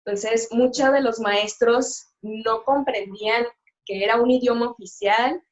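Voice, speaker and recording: A middle-aged woman, a sign language interpreter, talks as a guest on a video call and pauses often with fillers and restarts. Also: on the high side, steady tone, dull audio. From Mexico. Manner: hesitant, many fillers and restarts. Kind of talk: live-stream interview, casual chat.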